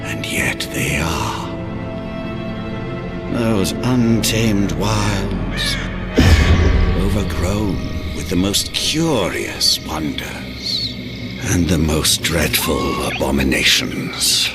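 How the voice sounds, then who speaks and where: Very deep, slow, solemn emperor. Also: male voice, animated, heavily acted. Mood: ominous. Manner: stately, measured, portentous. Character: solemn, commanding male emperor dispatching his envoy. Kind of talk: dramatic game cinematic monologue. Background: cinematic music.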